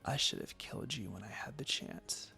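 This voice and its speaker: Storybook role: vengeful young ninja girl, cold, slow and breathy, acted by a man, over music. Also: lowish voice, expressive, pushed and bright, pitched below the performer's own voice, heavily acted. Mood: cold menace.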